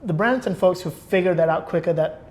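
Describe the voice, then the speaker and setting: A man speaking as a guest in a relaxed, conversational podcast discussion. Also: high-pitched, brisk.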